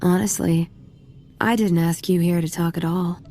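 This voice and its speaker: A woman's voice: fairly low pitch, steady tone, moderate pace, warm tone. An actress playing a flirtatious, cocky female army sergeant in a video game, with a teasing, playful manner. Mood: flirty, teasing. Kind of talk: video game cutscene acting.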